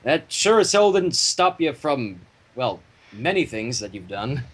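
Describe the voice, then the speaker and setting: A man reading a story theatrically on a livestream, breaking off into casual banter, with a husky voice. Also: mid-range voice, big pitch swings, measured pace. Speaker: fan fiction reader and livestream host.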